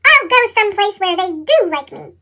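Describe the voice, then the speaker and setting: A woman's voice: extremely high pitch, sing-song, normal speed, bright, forceful tone, full character voice. A woman voicing an earnest, naive young male steam engine in a storybook, with a sped-up chipmunk effect. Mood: hurt. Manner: puffing, eager, naive.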